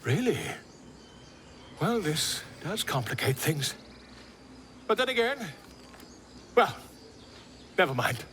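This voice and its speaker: An actor playing a pompous, excitable middle-aged scholar in a video game, with florid, theatrical delivery. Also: male voice, high voice, takes it slowly, long pauses, a little hiss or hum, full character voice. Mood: eager, dramatic. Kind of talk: video game character acting.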